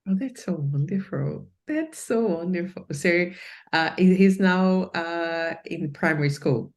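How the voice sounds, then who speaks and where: Slow, low-voiced woman mentor, meandering. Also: animated. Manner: meandering questions with many fillers and restarts. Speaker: career mentor and host. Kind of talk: interview questions.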